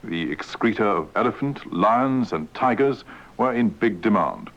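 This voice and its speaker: A man reading a news item on the radio in a measured, formal manner. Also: fairly low pitch, lively intonation, a bit bright. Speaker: radio newsreader. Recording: dull, slightly noisy old radio recording.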